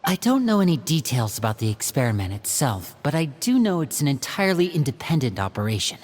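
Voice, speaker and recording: A man playing a composed, loyal young operative in a video game, measured and earnest as he leads. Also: mid-range voice, big pitch swings, long reverb tail. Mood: earnest, firm. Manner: measured, explanatory, firm. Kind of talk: video game character dialogue.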